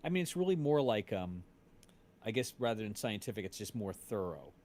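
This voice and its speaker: A man co-hosting a casual chat, speaking in a rambling, halting way. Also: on the low side, sing-song, a little mellow. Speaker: show co-host. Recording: faint background noise.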